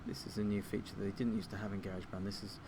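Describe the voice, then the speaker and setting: A man giving an informal software tutorial, explaining things step by step like a teacher. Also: lowish voice, little pitch movement, rapid-fire, noisy background. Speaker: music technology teacher.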